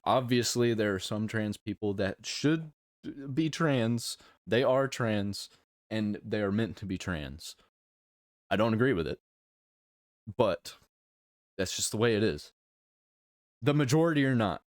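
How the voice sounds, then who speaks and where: A man with a fairly low voice hosts a casual reaction video. He talks bluntly and emphatically, breaking his opinions into short, halting phrases. The sound is dry and close, with little room in it. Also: lively intonation, no echo.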